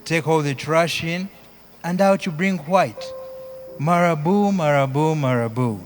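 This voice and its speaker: Male poet-singer, Ugandan accent, high-pitched and unhurried, chanting theatrically over live music. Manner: chanting, repetitive, theatrical delivery. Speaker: spoken word performer, singer. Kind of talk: live spoken word poetry with singing.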